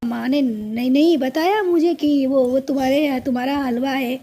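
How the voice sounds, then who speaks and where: A woman's voice: high-pitched, moderate pace, warm tone. A woman voicing a weary, henpecked male crow in a cartoon, resigned and plaintive. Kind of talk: cartoon story dialogue.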